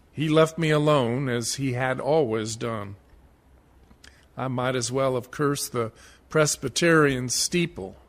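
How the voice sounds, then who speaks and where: A man playing a dead blasphemer, defiant yet humbled, in a deliberate, earnest radio-play monologue. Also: medium pitch, a bit bright.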